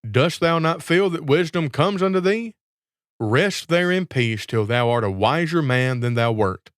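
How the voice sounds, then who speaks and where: A man's voice: fairly high pitch, lively intonation, unhurried, no room sound, pitched above the performer's own voice. Give the storybook gardener , as acted by a male narrator, a lifted, proclaiming voice. He is a sly man playing the lofty sage, grandiose and archaic in every phrase. The sound is close and dry. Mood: mock solemn. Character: crafty gardener in a sack, posing as grand sage. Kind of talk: fairy tale storytelling.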